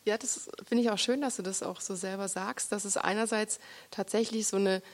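A woman's voice: mid-range voice, steady tone. Reflective woman interviewing an author. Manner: reflective, searching for words with many pauses. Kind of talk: literary interview at a reading.

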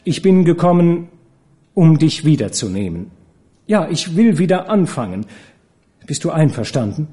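A man's voice: on the high side, big pitch swings, normal speed, long pauses, warm tone, pitched above the performer's own voice. A man voicing a lovesick nobleman who pleads urgently and stammers. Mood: desperate.

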